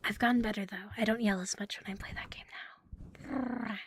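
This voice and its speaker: A woman streaming ASMR in a soft, breathy, often whispered voice, playful and intimate, close to the microphone. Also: mid-range voice, steady tone, moderate pace, a little hiss or hum. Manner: playful, giggly, intimate, lingering on repeated words. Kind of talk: ASMR roleplay with casual rambling to stream viewers.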